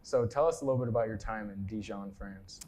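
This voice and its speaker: A man's voice: on the low side, quick pace, darkish tone. A man hosting a morning show, interviewing a guest in a friendly, easygoing way. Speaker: TV show host.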